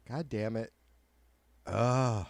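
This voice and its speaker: A man with a raspy, nasal voice, rambling profanely and jokingly on his livestream. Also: mid-range voice, darkish tone. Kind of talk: casual rambling livestream chat.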